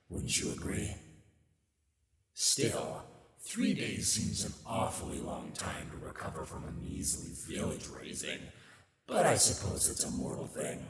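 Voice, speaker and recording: A man voicing a haughty, scheming demon in a theatrical, condescending ASMR roleplay, with a gravelly voice and sly asides. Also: very deep voice, reverberant, long reverb tail, full character voice. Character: sardonic, scheming male demon master, haughty soulmate. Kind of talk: ASMR roleplay, dramatic monologue to listener.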